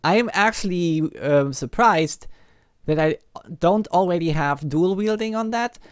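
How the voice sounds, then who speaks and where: A man's voice: sits high, a little slow, smooth, dry recording, studio quality. A man from Germany with a German accent, giving casual live game commentary, hesitant and chatting to viewers. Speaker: livestreamer, game host. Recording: faint game ambience behind.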